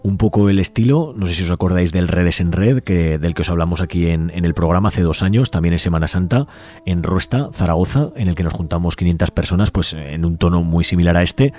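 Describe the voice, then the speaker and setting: Male community radio host with a Spain accent; low and mellow, talks unhurriedly, backs up to rephrase, soft music bed behind him. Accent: Peninsular Spanish. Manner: unhurried, halting, repeats and reworks phrases. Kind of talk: unscripted radio talk segment. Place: radio studio.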